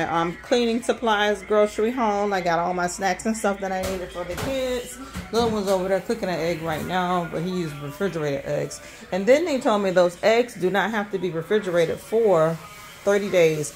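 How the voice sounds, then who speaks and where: Woman host, middle-aged, casual budget vlogger; quick, chatty, filler-heavy delivery into a phone at her kitchen counter. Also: on the low side. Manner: chatty, many ums, talks fast. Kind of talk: casual grocery haul vlog. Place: indoors at a home kitchen counter. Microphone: handheld phone filming items.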